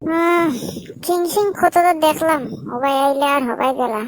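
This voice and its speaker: Woman voicing a very high-pitched, cheeky Sylheti cartoon character. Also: normal speed, loud music behind the voice, close to an everyday voice, low-quality recording. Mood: dismissive. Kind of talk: colloquial cartoon dialogue.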